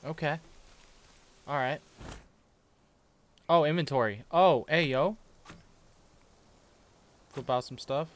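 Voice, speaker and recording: A young man giving a casual, halting, slangy reaction commentary as a gaming YouTuber at a desk indoors. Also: on the high side. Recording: clear background noise of game ambience.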